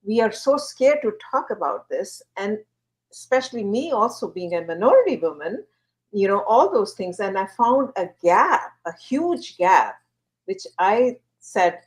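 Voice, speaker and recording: A woman who grew up in India answers an interviewer's questions in a lively, earnest way, often pausing on fillers. Her voice sits at an ordinary middle pitch for a woman. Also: low-quality recording. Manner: animated, earnest, uses fillers. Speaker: guest, wellness platform founder.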